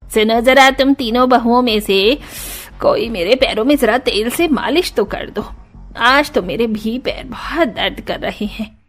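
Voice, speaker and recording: A woman's voice: a little high, measured pace, natural voice. A woman putting on a scolding bossy aunt. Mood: demanding. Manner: scolding, complaining. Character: sharp-tongued older aunt, scolding and bossy. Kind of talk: animated storybook voice acting.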